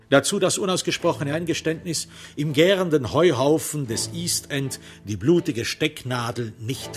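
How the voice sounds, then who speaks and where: Guy narrating a crime radio play, mid pitch voice, measured and dramatic w pauses, some music behind.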